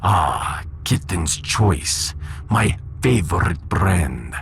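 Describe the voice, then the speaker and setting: A talking cat villain in a sci-fi audio drama. The voice is gravelly and unhurried, imperious and theatrical, like a tyrant demanding worship. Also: male voice, deep voice, sing-song, a little hiss or hum, voice pushed down, exaggerated voice. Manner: grandiose, theatrical villain delivery. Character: megalomaniac talking male cat, menacing and imperious.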